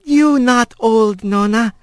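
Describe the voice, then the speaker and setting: A man's voice: very high-pitched, slow pace, no room sound, pitched above the performer's own voice. A man playing an old squirrel grandmother. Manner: broken clipped speech, ending questions with yes. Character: old female squirrel grandmother, brisk and fond.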